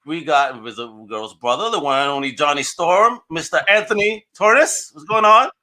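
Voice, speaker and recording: A man with a bright, forceful, slightly high voice hosts a podcast. He talks in casual slang and hypes up his guests like a showman. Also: expressive, moderate pace. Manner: enthusiastic, slangy, showman-like introductions. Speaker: podcast host. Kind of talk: casual, hyped podcast hosting.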